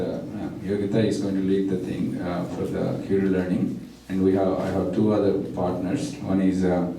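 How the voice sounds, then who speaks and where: A man introducing a guest speaker, halting and full of fillers. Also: sits low, vocal fry, warm tone. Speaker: local center partner introducing the speaker. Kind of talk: hesitant introduction speech. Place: large echoey room. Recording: echoey room from far off, faint noise.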